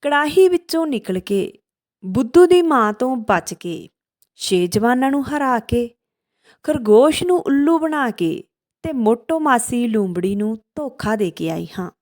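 A woman's voice: fairly high pitch, lively intonation, a little slow, a little mellow. A woman voicing a boastful, taunting runaway piece of fried bread in a children's storybook tale.

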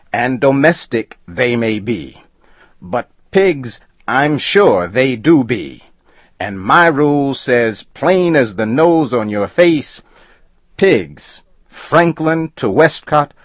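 A man's voice: mid-range voice, big pitch swings, takes it slowly, dry recording, pitched above the performer's own voice. A man voicing a stubborn, rule-bound male express agent who talks in a folksy dialect.

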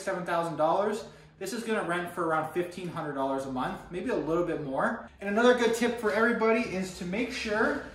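A young man gives an upbeat, fairly high-voiced walkthrough of his rental renovation, speaking straight to viewers. The empty rooms ring with echo, and he stands a few steps back from the camera. A faint hiss runs behind him. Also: fairly bright tone. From Canada. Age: young adult. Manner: upbeat, addresses viewers as guys. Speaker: real estate investor vlogger. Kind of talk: walkthrough tour talking to camera. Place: empty apartment under renovation, indoors. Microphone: camera held by another person, a few steps away. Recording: echoey empty room, mic at a distance.

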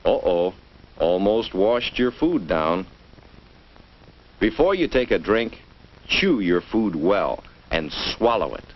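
An American man narrating a scripted 1950s instructional film for children, coaxing and didactic, with deliberate phrasing, on an old film soundtrack. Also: fairly low pitch, sing-song. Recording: muffled, noisy early-1950s film soundtrack.

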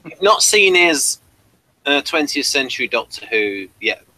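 Give me a man with a very bright, sharp voice, chatting casually in short bits. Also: mid-range voice, very animated, measured pace, poor audio. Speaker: podcast co-host. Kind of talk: casual chat.

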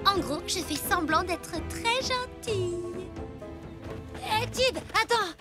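A woman voicing a bossy, scheming village girl in a French cartoon, talking in an animated, wheedling way. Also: very high voice, takes it slowly, exaggerated voice. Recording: cartoon dialogue with music.

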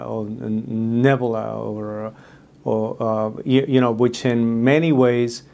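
A man's voice: mid-range voice, darkish tone. A middle-aged man whose first language is Spanish, speaking with a Spanish accent as host in a casual talk to camera, earnest, with many fillers and restarts. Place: red-walled studio room with desk.

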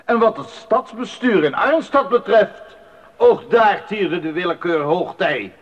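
An actor playing a proud, defiant court musician in a radio drama, speaking formally with declamatory indignation. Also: male voice, high voice, expressive, a little slow. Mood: aggrieved. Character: proud, defiant male court musician standing up to his lord. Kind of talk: 1980s radio play acting. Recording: dull, band-limited 1980s radio recording.

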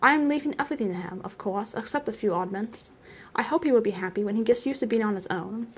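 A woman's voice: medium pitch, fast, slightly rough. A woman voicing an elderly male hobbit, stubborn, touchy and possessive, in an emphatic, testy storybook reading. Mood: weary, wistful. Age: older.

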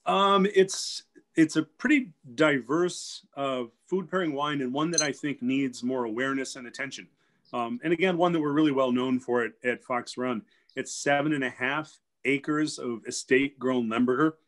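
A man's voice: medium pitch, normal speed. A man in his forties, a winery sales director, talking casually and explaining things with fillers over a video call from a room at home. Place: home room with shelves, indoors. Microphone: video call webcam.

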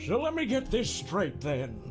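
Man doing a high, theatrical quack therapist. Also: wildly swooping pitch, over music, heavily acted. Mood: needling, wry. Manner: theatrical, halting, swearing, self-amused with chuckles. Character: quirky, jokey male therapist doctor, theatrical and needling. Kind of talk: improvised comedic game fandub.

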